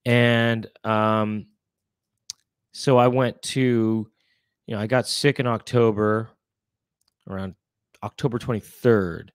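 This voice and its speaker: A man's voice: on the low side, steady tone, takes it slowly, long pauses, smooth, no room sound. A man in his thirties co-hosting a casual chat show from a small room at home, congested and rambling playfully into a studio mic. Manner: rambling, playful comic riffing. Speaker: co-host. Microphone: studio mic with headphones.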